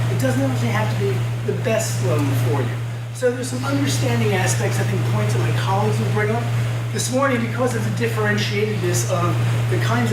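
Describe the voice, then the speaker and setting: Congested man in an echoey hotel room. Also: high voice. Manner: chatty, self-deprecating, clears throat often. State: congested, nasal from a cold. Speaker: panel moderator. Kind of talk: opening remarks at a business breakfast. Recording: echoey room, distant mic, loud hum.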